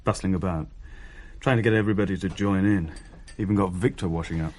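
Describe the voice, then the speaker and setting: A man's voice: low voice, quick pace, a little mellow. An actor playing a young man who tells of things in a hesitant, descriptive way in a naturalistic radio play. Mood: reflective. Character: uneasy younger man recounting a ghost sighting.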